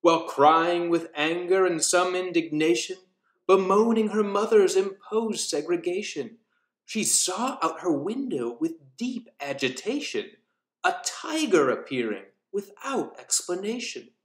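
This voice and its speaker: A man's voice: high-pitched, lively intonation, measured pace. A young man with an American accent reading as an author, in storybook narration and casual introduction. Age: young adult. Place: indoor studio room with wooden floor. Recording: roomy echo.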